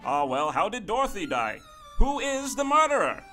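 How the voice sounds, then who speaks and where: A high, bright male actor's announcer voice, excitable and hammy. He hosts a radio mystery with tongue in cheek, over faint music. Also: moderate pace, exaggerated voice. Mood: mock excitement.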